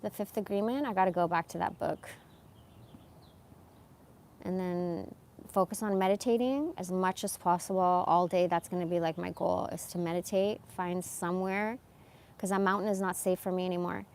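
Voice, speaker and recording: A woman's voice: on the low side, moderate pace, darkish tone. Candid, slangy woman in her forties. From born in Iran, moved to America as a young child. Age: 40s. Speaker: live streamer. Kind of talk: casual, rambling personal monologue.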